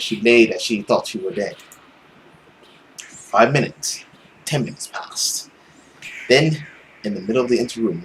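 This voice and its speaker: A man's voice: medium pitch, fairly bright tone, low-quality recording. A young man reading visual novel narration aloud as a gaming host, dramatic, with casual commentary, on a headset mic. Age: young adult. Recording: faint background noise.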